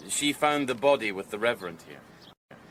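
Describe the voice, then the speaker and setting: A man's voice: fairly low pitch, animated, moderate pace, a bit bright, slight background noise, rough recording. An actor playing a polite, earnest, anxious junior male police sergeant in a radio drama.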